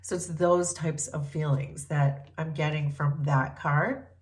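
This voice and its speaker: A woman reads tarot cards for her viewers in a gentle, unhurried way, pausing often to think. Her voice sits a little low and sounds stuffy from a cold. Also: fairly even intonation. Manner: gentle, thoughtful, many ums and pauses. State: congested from a cold. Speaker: tarot reader. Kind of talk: collective tarot reading.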